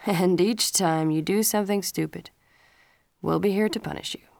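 A woman's voice: lowish voice, moderate pace, gravelly, darkish tone, no echo. Woman narrator voicing a stern father, firm delivery, dry studio. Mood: wry. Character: stern middle-aged father scolding his son. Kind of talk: storybook character reading.

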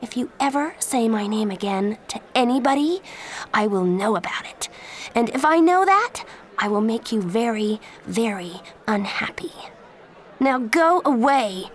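A woman voicing an angry, defiant teenage girl who speaks high and sharp. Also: animated, measured pace.

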